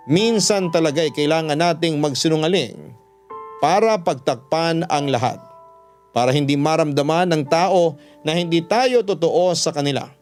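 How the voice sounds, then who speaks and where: A man's voice: on the high side, a little mellow, lightly acted. A male actor with a Filipino accent playing a regretful young father, earnestly narrating his confession in a radio drama. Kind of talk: dramatized first-person radio letter narration.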